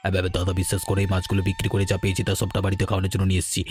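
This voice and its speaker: A man's voice: sits low, very fast, a little mellow, close to an everyday voice. A man playing a poor village fisherman in a cartoon story, apologetic and keen to justify himself. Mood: flustered, apologetic.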